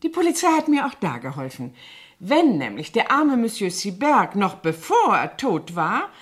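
Sly cleaning lady, swooping intonation, ingratiating fake innocence, quiet menace, radio play. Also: medium pitch, wildly swooping pitch, normal speed. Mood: sweetly menacing. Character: falsely naive, sly blackmailing cleaning woman. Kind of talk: 1970s German radio drama acting.

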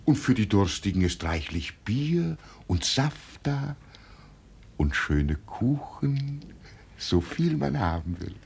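Casting: deluded old villager playing emperor, low slow solemn voice, widely swinging melody, radio play. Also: male voice, sing-song, gravelly, darkish tone, a little hiss or hum, exaggerated voice. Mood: dreamy, gentle. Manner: solemn, grandiose, dreamy. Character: deluded poor old father who believes himself emperor. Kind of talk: German radio drama acting.

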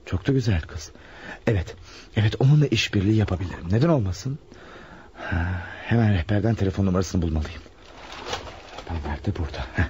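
A man's voice: low-pitched, lively intonation, brisk, pauses often, darkish tone. A man acting a nervous, scheming insurance clerk in a radio drama, hesitant and muttering. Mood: musing, searching. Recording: muffled, noisy radio drama recording.

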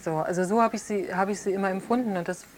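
A woman's voice: mid-range voice, close to an everyday voice. A woman playing a reflective close friend being questioned in a naturalistic radio drama, thinking aloud and searching for words. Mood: pensive. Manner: thoughtful, searching for words, halting. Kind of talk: improvised naturalistic interview drama.

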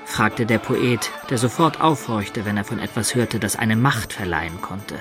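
A man's voice: on the low side, moderate pace, over music, lightly acted. An actor playing a man who recounts his past adventures in a radio drama. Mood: reflective. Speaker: narrator and lead character.

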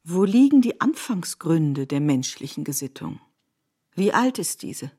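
A woman with a soft, dark timbre and a slightly low voice narrates literary prose for radio. Her reading is measured and articulate, in a studio setting. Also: sing-song.

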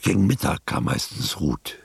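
Deep, expressive male narrator. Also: moderate pace, gravelly, a little hiss or hum. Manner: storytelling. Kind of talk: scripted radio drama narration.

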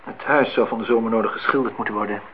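A man acting a lonely, brooding engineer in a radio play. His voice sits fairly low and he has an insistent, melancholy temper. The recording is muffled, with some hiss and noise. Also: fairly even intonation, fast, lightly acted. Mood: brooding, wistful. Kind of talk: radio play dialogue and monologue.

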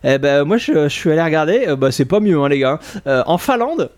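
A man's voice: on the high side, big pitch swings, a little fast, darkish tone. A man from France hosting a casual podcast chat, hesitant with many fillers and restarts. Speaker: podcast host.

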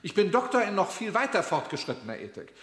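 An actor playing a self-important, pedantic philosopher and union delegate in a comic radio drama, pompous and demanding. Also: male voice, sits high, very animated, fast talker, a bit bright. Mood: boastful. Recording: echoey hall reverb.

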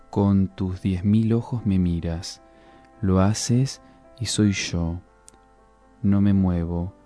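A man giving a solemn, deliberate dramatic reading of poetic prose, as a guest reader. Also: sits low, mellow. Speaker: guest reader of literary texts. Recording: music under the voice. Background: music.

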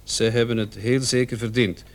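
An actor in a radio drama playing a composed policeman who reads a killer's confession aloud in a measured, deliberate way. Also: medium pitch, fairly even intonation, close to an everyday voice. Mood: measured, grave. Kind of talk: radio drama acting, reading a letter aloud.

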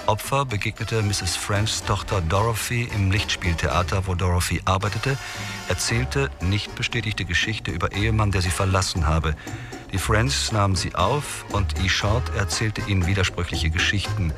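Man doing a dry police report reading, low voice, matter-of-fact, music underneath. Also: normal speed, lightly acted.

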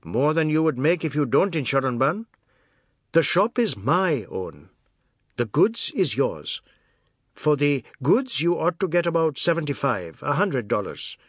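A man's voice: on the high side, very animated, a little slow, dry recording, studio quality, voice pushed up. A man voicing a brusque, irritable, businesslike Trinidadian family boss in an audiobook, clipped and insistent. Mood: blunt.

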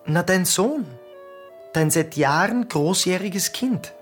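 A performer playing a patient adult son visiting his mother in a radio play, speaking gently and calmly. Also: lowish voice, slow, faint music behind.

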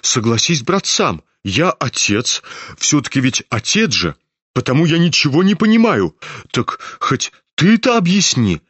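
A man's voice: on the high side, very animated, a little slow, a bit bright, breathy edge, no echo, pitched above the performer's own voice, exaggerated voice. A man voicing a flustered elderly general and father in a storybook reading, stammering and fussy.

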